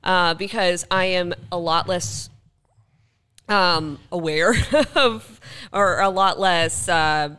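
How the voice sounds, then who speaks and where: A woman's voice: on the low side, slow, sharp tone, clear, resonant tone. A woman co-hosting a podcast in casual chat, with an informal, self-deprecating way of talking. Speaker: podcast co-host.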